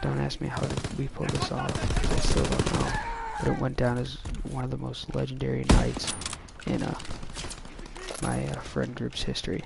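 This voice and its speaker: A young adult man telling a personal story casually, in a rambling, halting way. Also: mid-range voice, gravelly, very noisy.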